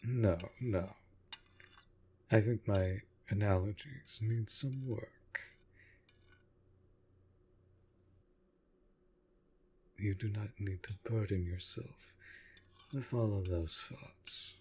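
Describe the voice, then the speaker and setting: A man playing a courtly, protective vampire lord in a roleplay audio drama, speaking softly and formally in measured, deliberate phrases. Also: on the low side, slight background noise. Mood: gentle, reassuring. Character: courtly, protective male vampire master of a manor.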